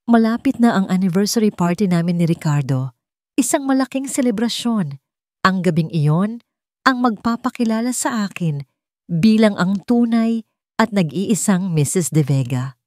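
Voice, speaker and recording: A woman's voice: medium pitch, animated, darkish tone, no echo, studio quality, natural voice. Woman voicing a cold, menacing rich schemer. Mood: vengeful, gloating. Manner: deliberate, threatening, sneering.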